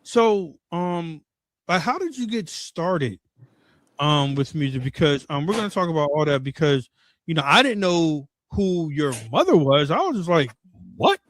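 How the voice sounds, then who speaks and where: High-voiced excitable man hosting an interview. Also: unhurried, no room sound. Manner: excitable, fumbling and repeating words. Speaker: interview host. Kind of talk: casual interview conversation.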